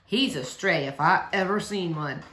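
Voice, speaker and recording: A woman voicing a distracted, kindly preacher father in a storybook, terse, absent-minded and gently firm. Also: fairly low pitch, a little slow, pitched below the performer's own voice. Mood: softening. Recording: roomy, echoey indoor sound.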